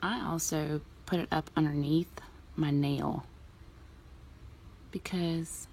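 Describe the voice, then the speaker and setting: A woman in a relaxed, chatty way explaining a nail art tutorial, with plenty of ums. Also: low voice, slow, creaky, husky. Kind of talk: casual tutorial talk.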